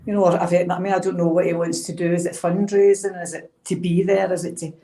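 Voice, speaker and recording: A woman's voice: lowish voice, flat delivery, fast, mellow. A woman answering casually on a panel in a plain, down-to-earth way.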